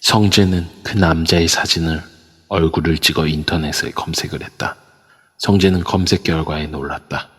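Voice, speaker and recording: A man narrating an amateur audio drama in a steady storytelling manner. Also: a bass voice, normal speed, breathy edge. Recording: long reverb over a voice app.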